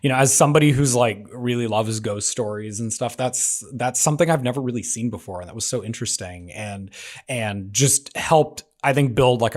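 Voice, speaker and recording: A man casually discussing a script, circling around his point and peppering it with "like". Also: on the low side. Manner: hesitant, circling phrasing peppered with "like".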